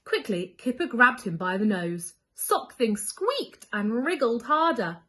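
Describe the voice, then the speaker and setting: A woman's voice: fairly high pitch, sing-song, unhurried, sharp tone. A woman reading a children's story expressively as a school storyteller, leaning on key words for young listeners.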